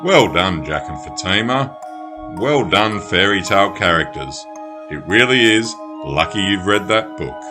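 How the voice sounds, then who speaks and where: Deep-voiced man narrating a children's story. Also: expressive, a little slow, over music.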